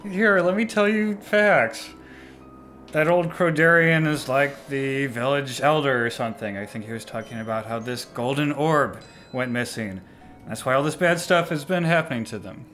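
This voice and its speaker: A man's voice: mid-range voice, moderate pace, fairly bright tone, slight background noise, close to an everyday voice. A man playing a dim, laid-back, defensive starship first officer in a comedic sci-fi radio play, casual and vague.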